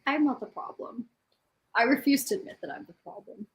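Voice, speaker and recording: A woman's voice: on the high side, expressive, brisk. A woman in casual chat, griping in an exasperated, self-mocking way. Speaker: participant in a group reading livestream.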